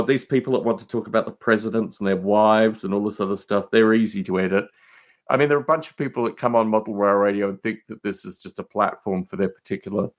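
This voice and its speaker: A man speaking as a podcast guest in casual, rambling banter, emphatic and prone to digressions and mildly exasperated exclamations. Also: fairly low pitch.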